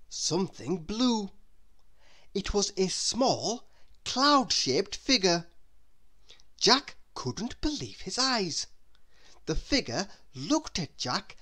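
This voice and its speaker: A woman reading a children's story aloud in a deliberate, expressive way, as if to a young listener. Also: medium pitch. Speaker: storybook reader. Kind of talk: scripted children's story narration.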